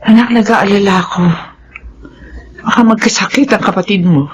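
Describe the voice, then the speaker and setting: A woman's voice: mid-range voice, moderate pace, husky, muffled recording. A Filipino actress playing a worried elderly mother and grandmother in a radio drama. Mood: concerned.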